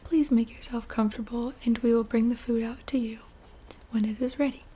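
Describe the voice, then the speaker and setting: A courteous forest creature of bark and moss, whispering softly and hospitably, as a woman puts it on. The whisper is mellow and breathy, with a slightly hesitant, kindly manner. It is an ASMR storytelling piece. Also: a little high, steady tone, brisk. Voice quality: soft whisper. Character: gentle polite creature of wood, bark and moss.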